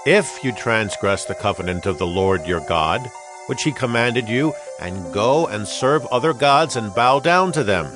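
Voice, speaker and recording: A man's voice: mid-range voice, recorded from a distance, close to an everyday voice. Solemn aged leader played by a man, music behind. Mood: grave warning. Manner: solemn, declamatory, emphatic. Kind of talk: dramatized scripture oratory.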